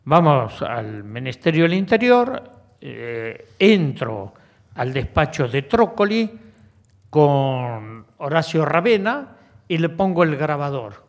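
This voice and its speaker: A man's voice: on the high side, very animated, slow pace. A man giving testimony as a dramatic first-person retelling.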